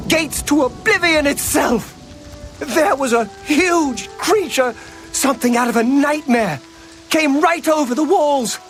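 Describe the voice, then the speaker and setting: A panicked refugee in a fantasy game. His voice is high and overwrought, with drawn-out, emphatic words over faint music. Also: a bit bright, exaggerated voice. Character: panicked, despairing refugee fleeing a demon attack. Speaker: video game character. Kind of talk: dramatic fantasy game dialogue.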